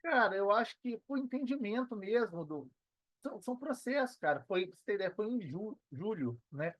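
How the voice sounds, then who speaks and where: A man's voice: high-pitched, big pitch swings, brisk, a bit bright, no echo. A Brazilian man talking casually as an interview guest, using slang and repeating phrases for emphasis. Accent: Brazilian Portuguese. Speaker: podcast guest, digital marketer.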